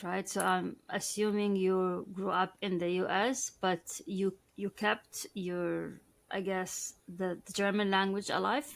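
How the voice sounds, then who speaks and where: A woman's voice: medium pitch, creaky, a bit bright. A woman hosting an interview podcast, asking curious questions with many fillers and restarts. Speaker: podcast host.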